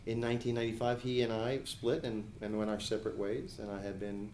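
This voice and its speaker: A man in his forties, originally from New Jersey, speaks with a fairly low voice in a measured, deliberate way, pausing often on small fillers as he gathers his thoughts. He answers interview questions about his career. His voice carries a noticeable roomy echo. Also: flat delivery, darkish tone, a little hiss or hum. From New Jersey, USA. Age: 40s. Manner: measured, frequent hesitation fillers. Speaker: attorney and judicial candidate. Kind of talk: editorial board interview.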